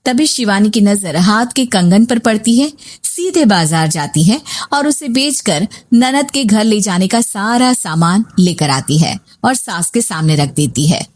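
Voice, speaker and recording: A woman reading scripted narration for a moral family story. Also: medium pitch.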